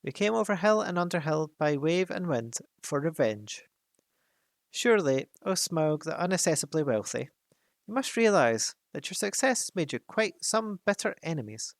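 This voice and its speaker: A man voicing a small, timid male hobbit who flatters nervously, in a frightened, squeaky voice. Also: normal speed, creaky, no echo, studio quality. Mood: earnest. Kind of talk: storybook reading.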